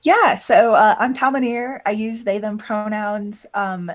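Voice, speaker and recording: A woman's voice: mid-range voice, measured pace. A woman chatting casually as an interview guest, talking in a self-deprecating way. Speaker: interview guest, audio drama producer and voice actor.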